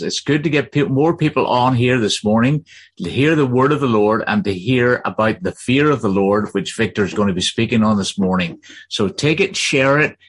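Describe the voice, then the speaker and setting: A man opening a church livestream with announcements, then praying slowly and fervently. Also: mid-range voice, a bit bright, husky. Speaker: church leader leading prayer.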